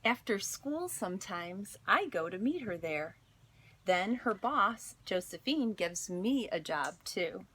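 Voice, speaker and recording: A woman teacher reading a children's story aloud to students, expressive and deliberate, with dramatic pauses. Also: mid-range voice, slightly rough.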